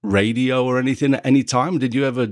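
A man from England, hosting a podcast in casual conversation, who often pauses and restarts as he searches for words. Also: mid-range voice, steady tone, no room sound, clean studio sound. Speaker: podcast host.

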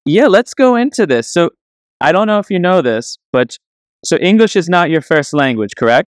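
Young male podcast host, mid-pitched and clean-voiced, casual and exclamatory. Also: sing-song, normal speed, no room sound, studio quality. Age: young adult. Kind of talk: upbeat casual interview.

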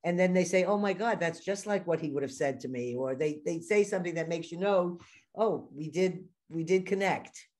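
Podcast host, woman, New York accent, lowish chatty voice. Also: moderate pace, a little mellow. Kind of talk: casual interview conversation.

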